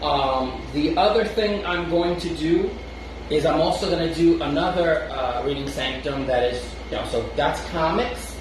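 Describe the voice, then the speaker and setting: A man's voice: a little high, normal speed, far from the mic. Echoey Brooklyn man talking off-mic. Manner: rambling, restarts often, chuckles between thoughts. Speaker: podcast co-host. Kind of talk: casual chat. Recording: very echoey room, off-mic, noisy.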